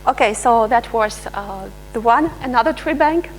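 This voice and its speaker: A woman researcher giving a live software demonstration, pausing often with fillers and restarting her sentences. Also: on the high side, lively intonation, a little hiss or hum, low-quality recording. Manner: hesitant, many filler sounds and restarts. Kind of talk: live software demo talk.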